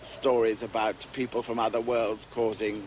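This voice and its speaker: A man's voice: on the low side, level tone, moderate pace, a little mellow. A man giving a hesitant reminiscence as a documentary interviewee, on an old 1960s recording. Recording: noisy archive 1960s documentary.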